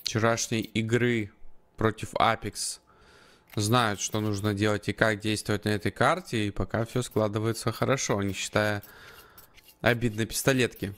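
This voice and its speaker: A man's voice: lowish voice, fairly even intonation, moderate pace, a bit bright. A man working as an esports commentator, calling and analysing a live match. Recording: noisy, gunfire and clicks behind commentary. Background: game gunfire and clicks.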